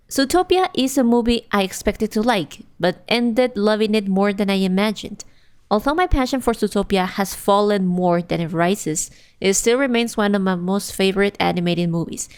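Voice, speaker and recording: A woman co-hosts a podcast, chatting casually and hesitating often with fillers as she hunts for the right word. Her voice is smooth and clear, at a middle pitch. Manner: halting, with many fillers. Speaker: podcast co-host.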